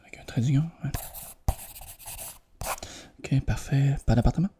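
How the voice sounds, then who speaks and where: A man with a Quebec French accent doing a soft, hushed, reassuring ASMR roleplay as an examiner. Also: mid-range voice, unhurried, slight background noise.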